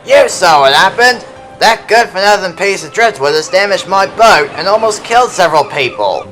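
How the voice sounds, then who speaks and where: A man's voice: high voice, animated, normal speed, soft background music, heavily acted, poor audio. A man playing a hot-tempered, indignant boat racer in an amateur audio drama. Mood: outraged. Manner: indignant, shouting.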